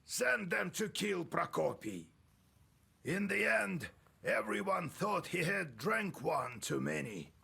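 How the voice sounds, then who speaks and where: An old game sorcerer, harsh bright rasp, unhurried, vengeful storyteller. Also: male voice, high-pitched, sing-song, heavily acted. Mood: storytelling. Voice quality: gravelly, raspy. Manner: slow, dramatic, cursing storytelling. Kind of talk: video game voice acting.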